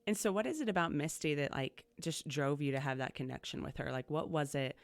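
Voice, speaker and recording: A woman's voice: low-pitched, lively intonation, a little fast, no echo. A woman hosting a casual coaching podcast, chatty and upbeat as she asks her guest questions. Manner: chatty and upbeat, many fillers and restarts. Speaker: podcast host, community college coach. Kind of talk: casual interview conversation.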